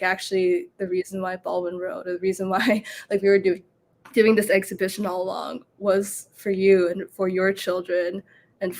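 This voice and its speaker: A young woman with a gravelly, fry-heavy voice pitched fairly low. She speaks haltingly, and her sentences break up around constant "like" and "um" fillers. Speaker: exhibit organizer. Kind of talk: reflective discussion.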